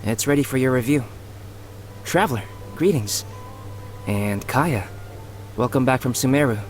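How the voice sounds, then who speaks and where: A man voicing a polite, scholarly young knight in a video game, formal and measured in his courtesy. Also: medium pitch, expressive, a little hiss or hum, natural voice. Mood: courteous.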